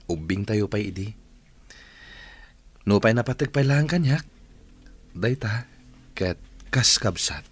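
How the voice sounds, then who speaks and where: A man's voice: lowish voice, expressive, takes it slowly, pauses often, husky, full character voice. A man with an Ilocano accent playing an earnest young suitor in a radio drama, wooing with tender insistence. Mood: reassuring.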